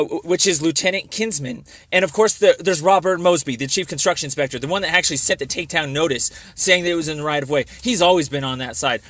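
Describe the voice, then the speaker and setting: Male caller, high bright voice, agitated and rambling, argumentative phone complaint, very dry sound. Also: dry recording. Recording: dry phone call recording.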